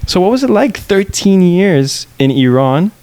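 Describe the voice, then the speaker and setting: A man's voice: sits high, sing-song, a little slow, darkish tone, clean tone, no room sound. A young man in his twenties hosting a casual podcast chat on a headset mic, playful and laughing often, sometimes rambling. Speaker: podcast host.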